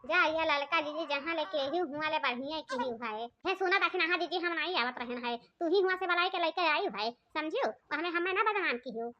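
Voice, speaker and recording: A woman voicing a defensive, squabbling married villager in a comic cartoon, excitable and repetitive, with an eastern Uttar Pradesh accent. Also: sits high, moderate pace, few pauses. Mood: pleading, defensive. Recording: dull lo-fi audio.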